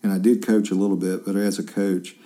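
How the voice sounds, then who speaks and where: A man's voice: deep voice, flat delivery, fairly quick, dark tone. A man in his fifties, a journalist hosting a casual interview, chatty and rambling with lots of fillers and restarts. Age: 50s.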